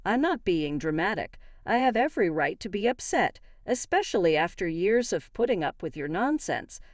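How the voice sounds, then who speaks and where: A woman's voice: mid-range voice, very animated, normal speed, no room sound, studio quality, natural voice. A woman playing a wronged, indignant wife in a narrated story, argumentative and emphatic. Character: wronged, indignant young wife confronting her in-laws. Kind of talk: acted dialogue in a narrated story.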